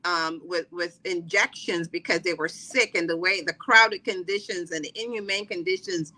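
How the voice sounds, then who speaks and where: A woman's voice: on the low side, fairly even intonation, normal speed, sharp tone, poor audio. A middle-aged woman from the Caribbean, chatting freely as a podcast guest with many fillers and restarts. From Trinidad and Barbados. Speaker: podcast guest, wellness coach. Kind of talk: casual interview talk.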